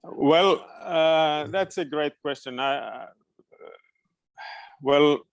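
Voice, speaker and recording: A man's voice: fairly high pitch, drawn-out words, no room sound. A middle-aged man from Finland, a native Finnish speaker, answering questions informally and hesitantly as he searches for words. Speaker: guest education expert.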